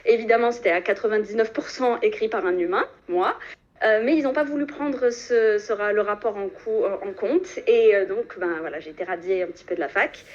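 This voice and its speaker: A woman's voice: mid-range voice, a little fast. A woman in her twenties from Algeria, calling into a live stream and talking with many fillers and restarts. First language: French. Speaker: caller. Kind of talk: phone call into a live stream. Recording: muffled phone call.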